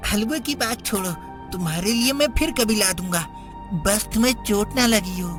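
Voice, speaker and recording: A woman's voice: mid-range voice, normal speed, over music, natural voice. A woman voicing an innocent, earnest young schoolboy in a children's cartoon story. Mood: consoling, disappointed.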